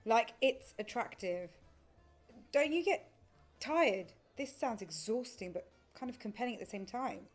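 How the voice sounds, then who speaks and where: A woman's voice: a little high, expressive, measured pace, soft background music. A woman acting in an audio play as a fascinated, flirtatious dinner guest with an eager, inquisitive manner. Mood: flirtatious, curious. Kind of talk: audio drama dialogue.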